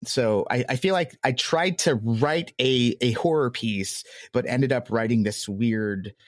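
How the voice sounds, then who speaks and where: A man's voice: medium pitch, a little slow, no echo, clean studio sound. A man giving casual, rambling, self-deprecating answers as an interview guest. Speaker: podcast creator being interviewed.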